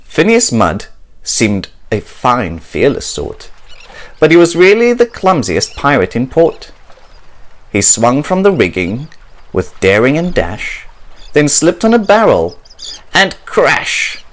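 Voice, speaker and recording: A male storyteller reads a picture book for children. His delivery is expressive and theatrical, with hugely swinging intonation. He takes his time over the words. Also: medium pitch, slow pace.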